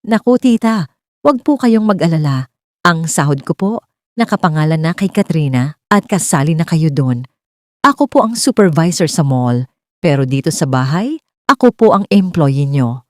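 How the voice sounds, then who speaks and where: A woman's voice: sits low, expressive, normal speed, no room sound, studio quality. Woman voicing a polite, devoted young man. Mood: cheerful, reassuring.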